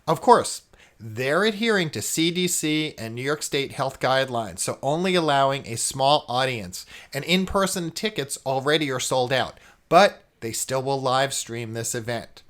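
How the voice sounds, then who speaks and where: A man hosting a podcast, reading scripted announcements in a clearly phrased, presenter-like way. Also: mid-range voice, lively intonation, moderate pace, a bit bright. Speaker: podcast host.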